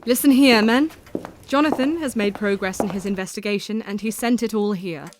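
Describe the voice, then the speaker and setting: A woman's voice: medium pitch, normal speed, background noise, close to an everyday voice. A woman acting in an audio drama as a resolute, grieving young Victorian woman who speaks earnestly and formally. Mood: determined.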